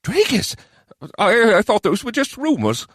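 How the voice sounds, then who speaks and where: A man voicing a nervous male goblin advisor in an audiobook, squeaky, servile and prone to stammering. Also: huge pitch swings, a little slow, a bit bright, no room sound, clean studio sound, heavily acted. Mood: frightened, stammering. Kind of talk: audiobook character voice.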